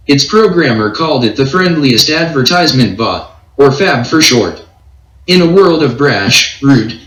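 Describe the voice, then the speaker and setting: A synthetic male text-to-speech voice reading a short story as scripted narration in an even, impersonal way. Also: mid-range voice, echoey room, poor audio. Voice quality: synthetic read-aloud voice.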